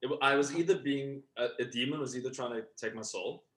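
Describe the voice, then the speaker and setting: A man's voice: medium pitch, fast talker. A man chatting casually in a group, blunt and informal with easy swearing.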